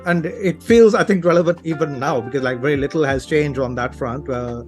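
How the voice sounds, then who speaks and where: A man's voice: on the high side, brisk, soft background music. A man from Sri Lanka speaking casually as an interview guest, thinking aloud in hesitant, broken phrases.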